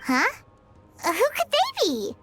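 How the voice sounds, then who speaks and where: Brief: a squeaky little fairy sidekick, eager and food-loving, bouncy high delivery. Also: female voice, huge pitch swings, unhurried, heavily acted. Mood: chirpy. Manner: chirpy, refers to herself in third person. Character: tiny floating female fairy companion, eager and greedy. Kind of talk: video game voice acting. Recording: game audio with music behind.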